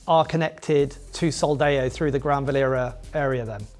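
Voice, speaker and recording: A man's voice: mid-range voice, steady tone, normal speed, darkish tone, soft background music. A middle-aged man hosting an interview, friendly and chatty, asking leading questions. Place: indoor studio set with wooden walls.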